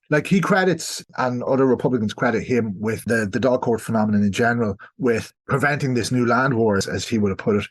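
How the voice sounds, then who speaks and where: An Irish man talks as a guest historian on a podcast. His delivery is measured and explanatory, at an average pitch, and he qualifies his points with asides as he goes.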